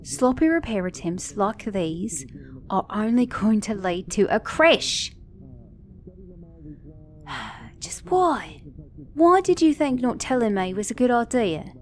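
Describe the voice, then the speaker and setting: A woman's voice: fairly high pitch, big pitch swings, slow, long pauses, a little hiss or hum. A woman with an Australian or British accent playing a sassy, caring mechanic girlfriend in an ASMR roleplay, speaking emphatically and affectionately to a partner. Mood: upset, scolding. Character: sassy, caring female mechanic girlfriend, scolding then tender. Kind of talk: ASMR roleplay audio drama.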